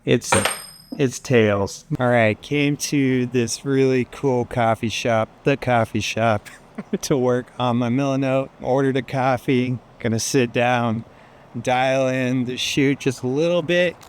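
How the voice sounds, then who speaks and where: Mid-pitched man, casual vlog chat. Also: steady tone, slow, clear, resonant tone. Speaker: filmmaker, video creator.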